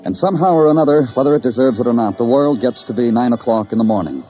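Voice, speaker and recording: An actor playing a world-weary, sardonic police detective in a 1950s radio crime drama, with terse, poetic narration. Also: male voice, medium pitch, expressive, quick pace, lightly acted. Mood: brooding. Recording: dull old radio recording, music behind.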